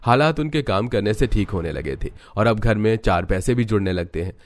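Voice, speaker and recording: A man's voice: on the low side, animated, brisk. A man reading scripted narration for a cartoon family story.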